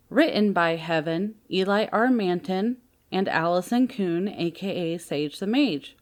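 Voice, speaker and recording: A woman giving a scripted credits announcement for an audio drama. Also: on the low side.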